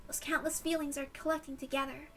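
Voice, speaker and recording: A solemn girl played by a woman muses in a story reading. Her voice is high and somewhat quieter, with a reflective manner and music faintly behind it. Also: level tone, fast talker.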